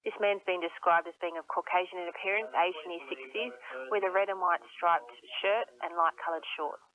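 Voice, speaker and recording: A woman's voice: on the low side, brisk. A woman with an Australian accent giving a formal police statement over the telephone. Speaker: police spokesperson. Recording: tinny phone line.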